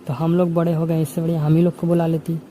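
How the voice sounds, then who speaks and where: A man's voice: fairly high pitch, completely flat delivery, quick pace, mellow. Insistent young man on a noisy call. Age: 20s. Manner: insistent, repetitive. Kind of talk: informal phone conversation. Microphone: phone. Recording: noisy phone call recording.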